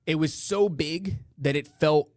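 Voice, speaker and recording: A man with a rough voice hosting a podcast, chatting casually in rambling, slangy, profane talk to a live audience online. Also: a little high, measured pace, no echo, clean studio sound. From northeastern United States. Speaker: podcast host. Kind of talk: casual live chat, reading listener comments.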